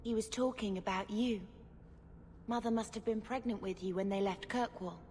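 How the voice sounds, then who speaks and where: Game companion: caring female mage actress, earnest, reflective, medium pitch, reverberant space. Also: moderate pace, a little mellow, a little hiss or hum, natural voice. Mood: pensive. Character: earnest, gentle younger-sister mage, dutiful and caring. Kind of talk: video game character acting. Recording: reverberant hall, music behind.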